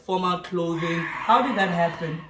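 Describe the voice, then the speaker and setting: A young woman from South Africa hosts a relaxed interview, chatty and quick to chime in. Her voice sounds distant from the mic in an echoey room. A faint hiss sits underneath. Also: fairly low pitch. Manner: chatty, many short backchannels. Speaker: interviewer host. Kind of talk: casual interview chat.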